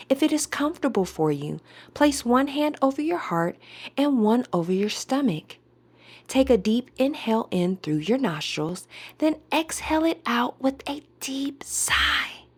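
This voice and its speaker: A woman leading a guided meditation in a soothing, deliberate way, with breathy, whispery word endings. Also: a little high.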